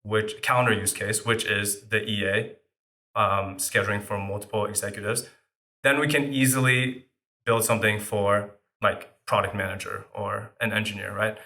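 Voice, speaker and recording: A startup founder who grew up speaking French, answering interview questions in a casual way with many fillers. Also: male voice, fairly low pitch, steady tone, normal speed, bright, forceful tone.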